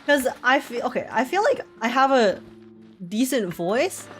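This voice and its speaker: A woman's voice: high-pitched, very animated, a little slow, a bit bright. A woman livestreaming a game in an informal, giggly manner, chatting casually and reading text aloud. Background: game music.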